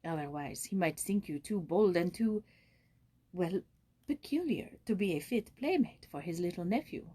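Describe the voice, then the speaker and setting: A woman voicing a kindly old French madame, proper and cautious, with a formal, measured way of talking. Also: on the low side, lively intonation, warm tone, husky. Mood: concerned, proper.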